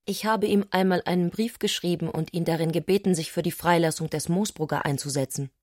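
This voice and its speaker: A woman's voice: on the low side, brisk, a little mellow, close to an everyday voice. An actress playing an intense, eccentric young society woman who speaks with urgent insistence in a radio play. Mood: insistent.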